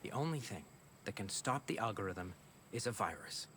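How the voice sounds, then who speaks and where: An actor playing a guilty, grief-stricken scientist in a video game, earnest and halting. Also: male voice, lowish voice, lively intonation, fairly bright tone, slight background noise. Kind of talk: video game cutscene acting.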